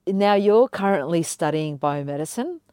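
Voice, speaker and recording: A woman hosts a podcast in a low, mellow voice. She encourages her guest and talks with frequent hesitations and fillers. The sound is dry and close. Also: expressive, moderate pace, clear, resonant tone, no room sound. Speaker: podcast host. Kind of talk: conversational interview.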